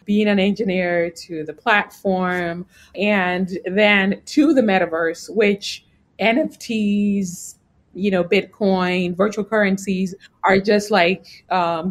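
A woman guest in an interview, calmly explaining her work in a measured way. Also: medium pitch. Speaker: podcast guest. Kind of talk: interview answers.

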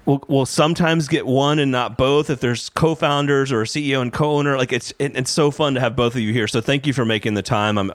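A man's voice: mid-range voice, dry recording. A man hosting a podcast, enthusiastic and gushing, with stammered restarts as he introduces his guests. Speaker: podcast host.

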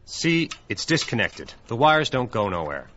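An actor playing a matter-of-fact building superintendent in an audio drama, with clipped, folksy, casual phrasing. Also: male voice, mid-range voice, very animated, normal speed, music in the background, close to an everyday voice. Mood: dismissive. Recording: dull lo-fi audio.

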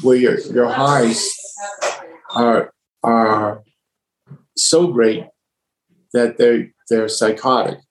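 A man from the United States, a laughter yoga leader, talking as a guest in a relaxed way, with many restarts and easy laughs. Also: mid-range voice, noisy background. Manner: relaxed storyteller, halting with restarts, laughs easily. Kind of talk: casual conversation. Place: home with household noises. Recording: echoey room.

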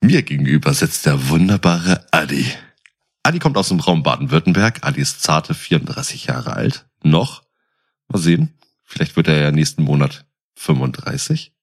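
Deep, husky, animated male podcaster. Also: normal speed. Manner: teasing, jokey. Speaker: podcast co-host. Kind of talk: casual joking banter.

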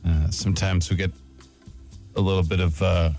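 A man's voice: low voice, level tone, moderate pace, creaky, darkish tone, faint music behind. A man hosting a radio show in casual, conversational banter.

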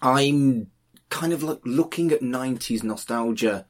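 A man's voice: medium pitch, unhurried. A man in his forties hosting a podcast interview, enthusiastic and playful. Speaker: podcast host. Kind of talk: casual interview.

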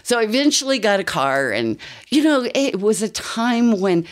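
A woman's voice: a little high, big pitch swings, moderate pace. An older woman with an American accent, telling a personal story in a casual, conversational way with rambling asides. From United States.

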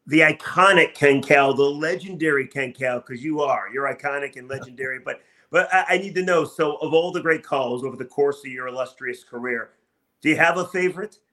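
A man's voice: mid-range voice, a little fast, sharp tone. An American man hosting a sports talk radio interview, asking questions in an emphatic, effusive, stop-start way.

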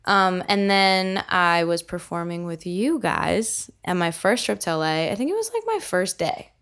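A woman's voice: on the low side, animated, moderate pace, a bit bright, clean tone. A young woman in casual podcast chat, talking in a chatty way with frequent laughs and filler words. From United States. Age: young adult. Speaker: podcast co-host, musician.

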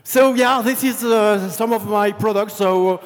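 A man's voice: sits high, unhurried, in a large hall, faint music behind. A man with a French accent, a game developer giving a chatty conference talk about his career, full of fillers. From France. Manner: relaxed, chatty storytelling with many fillers. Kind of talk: conference presentation.

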